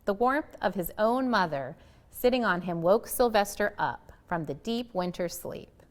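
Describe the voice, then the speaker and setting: Female picture-book reader, animated and dramatic, with very varied intonation. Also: medium pitch, unhurried. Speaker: storybook reader. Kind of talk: expressive scripted narration of a children's picture book.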